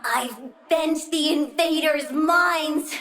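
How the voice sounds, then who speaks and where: Super high girly plant villain kid for a video game, bratty n sing-songy, slow and menacing. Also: distant mic, background noise. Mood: gleeful, eager. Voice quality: girlish, childlike. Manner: bratty, sing-song, swinging from cutesy to threatening. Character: childlike plant-powered villainess, petulant, gleefully menacing girl. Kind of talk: video game character voice lines.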